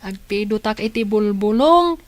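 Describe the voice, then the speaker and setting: A woman's voice: mid-range voice, lively intonation, normal speed, no room sound, close to an everyday voice. A woman with an Ilocano accent playing a helpful, curious young niece in an audio drama. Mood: eager, curious. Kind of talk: radio-style drama dialogue.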